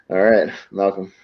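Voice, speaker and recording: Blunt guy chatting casually, lowish voice, bit of a dull lo-fi mic. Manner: blunt, sweary. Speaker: film panelist. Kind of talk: casual chat.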